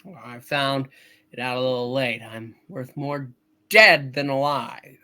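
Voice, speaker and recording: A man's voice: medium pitch, measured pace, pushed and bright. A man playing a troubled, skeptical everyman in a hammed-up radio play reading, hesitant and folksy with stammered starts. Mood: bitter, despairing. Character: troubled, skeptical, despairing everyman in old film.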